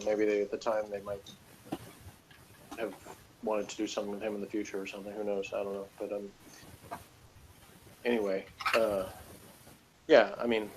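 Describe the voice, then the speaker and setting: A man chatting casually as he reviews television, rambling and halting, often repeating himself. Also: medium pitch, low-quality recording. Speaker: podcast reviewer. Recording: noticeable background noise.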